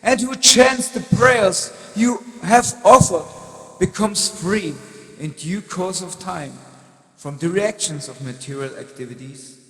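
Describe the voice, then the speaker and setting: In a cavernous echo, a high-voiced god acted by a man speaks very deliberately. He is solemn, commanding and benevolent, declaiming as in sacred drama. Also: fairly bright tone. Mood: solemn, instructing. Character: male Supreme Lord, half-lion deity, solemn, commanding, benevolent. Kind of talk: slow dramatic scripture reading. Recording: echoey hall, long reverb.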